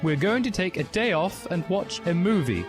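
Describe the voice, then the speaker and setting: A man's voice: sits high, sing-song, voice pushed up. A man voicing a haughty, elitist older female professor in a storybook reading. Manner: haughty, drawn-out. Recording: music behind the voice.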